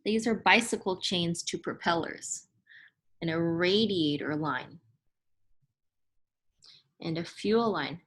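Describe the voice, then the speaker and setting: A woman reads aloud from a children's book in the manner of a teacher. Her pace is slow and deliberate, and her pitch sits in the middle range. She sounds instructive, setting out each term carefully for young listeners. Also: a bit bright. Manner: deliberate, instructive, spelling out and explaining terms.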